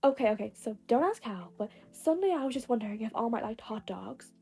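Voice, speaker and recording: A woman voicing a hyperactive, excitable teenage boy who gushes and exclaims in an amateur audio story. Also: mid-range voice, fast, gravelly, breathy edge. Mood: eager, insistent. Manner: gushing, exclamatory.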